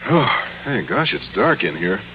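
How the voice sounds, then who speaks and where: Bashful naive schoolteacher, hesitant n flustered, animated, muffled noisy old radio recording. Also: male voice, fairly low pitch, normal speed. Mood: flustered, alarmed. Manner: hesitant, stammering, boyish. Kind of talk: 1950s radio comedy acting.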